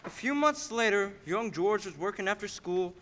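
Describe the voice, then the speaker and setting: A man playing a patient, authoritative superintendent of angels in a radio play, explaining things in a measured briefing manner. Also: sits high, expressive, a bit bright. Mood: patient, instructing. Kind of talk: 1940s radio play acting. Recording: stage radio-play performance.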